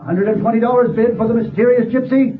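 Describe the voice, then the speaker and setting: A man playing a brisk, formal auctioneer coaxing bidders with polished sales patter in a radio drama. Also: very high voice. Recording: phone-like old radio recording, crowd murmur.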